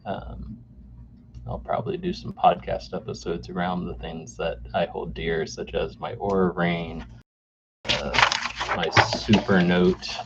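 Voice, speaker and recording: A man in his forties hosting a solo podcast off the cuff, halting with many fillers and restarts, recorded on a camera mic indoors. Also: low-pitched, creaky. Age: 40s. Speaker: podcast host. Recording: dull lo-fi camera mic sound with faint noise.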